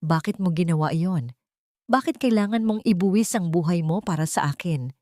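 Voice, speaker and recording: A woman's voice: on the low side, normal speed, dark tone, dry recording, clean studio sound, lightly acted. A woman voicing a distraught, injured young heroine pleading for her lover in an audio drama. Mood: anguished.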